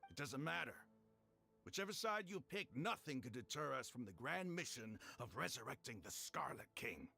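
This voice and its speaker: An actor playing a smug, scheming male cult leader in a game, gloating and condescending with a gravelly voice. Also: mid-range voice, very animated, normal speed, pushed and bright, faint music behind, heavily acted. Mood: zealous. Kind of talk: game voice acting.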